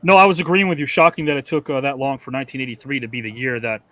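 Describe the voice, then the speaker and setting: Fast-talking earnest man moderating. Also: mid-range voice, lively intonation, fairly bright tone, no echo. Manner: earnest, emphatic, addresses the guests. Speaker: debate moderator. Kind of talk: upbeat hosting.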